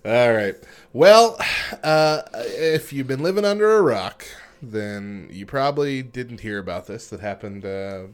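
A man's voice: mid-range voice, expressive, unhurried, smooth. A man co-hosting a radio show, reading light news and chatting casually, with frequent fillers and restarts.

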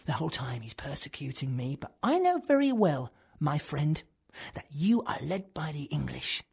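A woman acting a deluded male clerk who believes he is king, in a dramatic monologue that swings between hushed whispers and loud outbursts. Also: sits low, very animated, normal speed, gravelly, breathy edge, exaggerated voice. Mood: agitated ranting.

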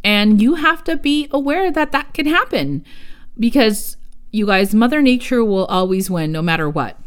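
Woman, podcast host, travel agent, casual solo talk, chatty, mid-pitched voice, talks straight to listeners. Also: lively intonation, normal speed, clear, resonant tone. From United States. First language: Spanish. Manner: chatty, addresses listeners as "you guys", digresses.

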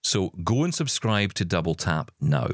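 A deep-voiced man co-hosts a podcast in relaxed, rambling chat. His voice swings freely up and down as he talks, upbeat and easygoing. The sound is close and dry, with no room around it. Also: normal speed, no room sound. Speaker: podcast co-host.

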